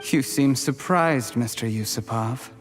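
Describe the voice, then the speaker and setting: Male actor as calculating underling, resentful, formal, controlled, medium pitch, video game drama, soft music. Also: a little slow, lightly acted. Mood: bitter, defiant. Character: resentful, calculating male underling shedding his servile mask.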